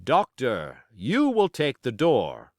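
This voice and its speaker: A man voicing a brisk, gruff sea captain in an audiobook, barking terse orders. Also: medium pitch, wildly swooping pitch, no room sound, clean studio sound. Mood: commanding. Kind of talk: audiobook character acting.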